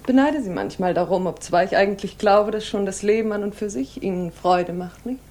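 A woman's voice: medium pitch, fairly quick, a little mellow, natural voice. An actress as a reserved, elegant lady. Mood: wistful. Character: reserved, elegant married lady with guarded melancholy. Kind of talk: 1960s German radio drama acting.

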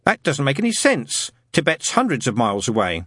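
Pedantic storybook schoolboy, acted by a man: raised pitch, husky edge, doggedly reasonable. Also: huge pitch swings, fairly quick, a bit bright, no echo, studio quality, full character voice. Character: earnest, literal-minded, bookish boy.

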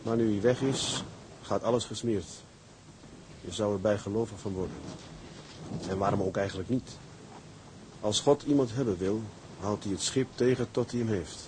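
A man's voice: on the low side, moderate pace, close to an everyday voice. A man acting a brooding, plain-spoken ship's captain, tender toward his wife, in a radio drama. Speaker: actor. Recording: noisy radio play with background ambience.

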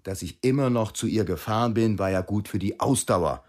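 An actor playing an insistent young East German racing cyclist in a radio drama, talking in a chummy, prodding way with repeated question tags. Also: male voice, fairly low pitch, a little fast, a little mellow, close to an everyday voice. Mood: insistent persuading.

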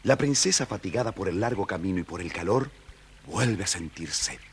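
A man's voice: low-pitched, animated, normal speed, slight background noise. A man with an Argentine accent narrating a scripted children's story as the storyteller.

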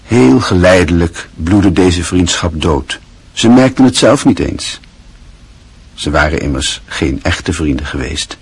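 Low husky guy narrating a radio play, literary story reading, really rough voice. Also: expressive, unhurried.

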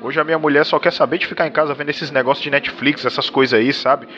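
A chummy, pushy waiter from a radio soap spoof, played by a man, rattling off slangy hawker patter. The voice is fairly high, the audio slightly dull. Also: fairly even intonation, fast talker, bright, forceful tone. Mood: chummy rambling. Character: pushy, chatty, over-familiar male waiter. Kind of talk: parody radio soap opera acting.

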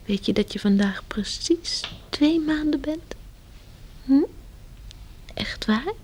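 An actress playing a tender woman cooing to a baby in a breathy whisper, in a Dutch radio play. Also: high-pitched, expressive, takes it slowly, a little mellow, slight background noise.

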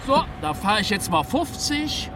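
Radio drama casting: stubborn, sarcastic young driver, high-pitched and animated, music in the car. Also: male voice, measured pace, recorded from a distance. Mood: haggling, defiant. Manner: slangy, sarcastic, bargaining. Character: sardonic, haggling young driver, stubborn and shrewd. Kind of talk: naturalistic radio drama dialogue. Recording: inside a car, off-mic, music behind.

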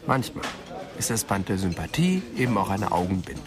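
An actor playing a sardonic, skeptical police detective who needles people, in a German radio crime drama. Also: male voice, low-pitched, sing-song, moderate pace, a crowd in the background. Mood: teasing.

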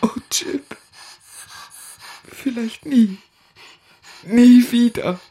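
An actor playing a grieving young husband who tells his life story in a radio play, sombre and measured. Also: extremely high pitch, dark tone, slightly rough, no room sound, full character voice. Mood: distraught grief. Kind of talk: literary radio play narration and acting.

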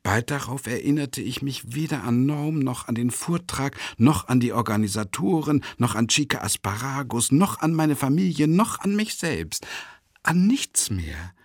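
An actor playing an unhinged psychiatric patient in a radio play monologue, theatrical and rambling, with a husky voice. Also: male voice, mid-range voice, lively intonation, normal speed, no room sound, clean studio sound. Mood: brooding recollection. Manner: theatrical, rambling, swinging from musing to agitated. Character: unhinged male psychiatric patient confessing to his doctor.

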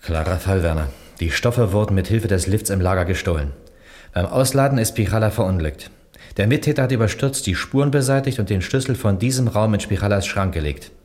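A man's voice: lowish voice, brisk, a little mellow, natural voice. A man playing an informal, matter-of-fact police detective reasoning through a case in a German crime radio play. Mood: deductive.

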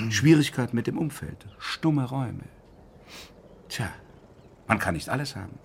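An actor playing a self-assured, eccentric male doctor in a radio crime drama, giving clinical pronouncements with deliberate pauses. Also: mid-range voice, animated, creaky, a little hiss or hum. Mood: confident, musing.

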